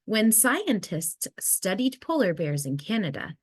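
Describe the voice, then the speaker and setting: A woman reading a children's nonfiction picture book aloud in a measured, expressive storytelling way. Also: medium pitch, husky, dry recording. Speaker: read-aloud narrator.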